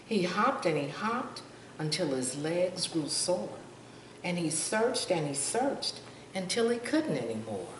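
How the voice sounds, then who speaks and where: An older woman reads a picture book to children in a slow, highly varied voice. She pauses to ask questions and point out pictures. The sound is echoey and a little far from the mic, with faint noise. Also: sing-song. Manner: asks young listeners questions, points out pictures. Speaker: storytime reader.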